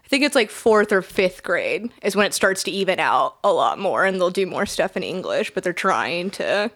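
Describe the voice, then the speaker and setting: A woman's voice: lowish voice, very animated, normal speed, a bit bright. Chatty young woman who swears a lot. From United States. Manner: chatty, laughing, swears freely, lots of "like". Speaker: guest. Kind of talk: casual podcast chat.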